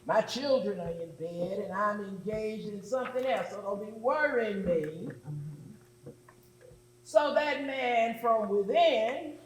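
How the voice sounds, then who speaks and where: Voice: medium pitch, roomy sound, background noise, lightly acted. A performer playing a folksy, earnest church preacher, drawing out a staged sermon to the congregation. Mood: folksy, storytelling. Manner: slow, folksy, drawn-out exhortation. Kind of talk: staged sermon in readers theatre.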